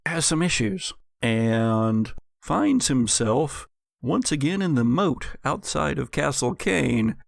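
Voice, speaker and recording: Male American game master for gothic tabletop narration; slow, theatrical delivery, dry recording. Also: medium pitch, vocal fry, no room sound.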